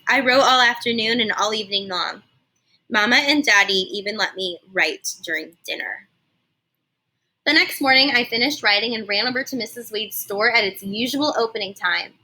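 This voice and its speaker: A woman reading a children's story aloud like a teacher, animated and explaining things simply for young listeners. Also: on the high side, moderate pace, poor audio. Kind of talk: expressive children's read-aloud with explanatory asides.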